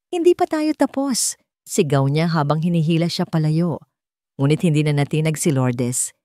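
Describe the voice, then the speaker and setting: A woman's voice: deep voice, dark tone, dry recording, clean studio sound. A woman with a Filipino accent reading a scripted dramatic story as a narrator. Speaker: storyteller of an audio love drama.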